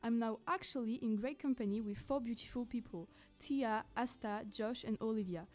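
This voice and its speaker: A woman's voice: on the high side, normal speed, darkish tone. A woman hosting a podcast, asking her guests questions in an eager, scripted way. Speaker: podcast host.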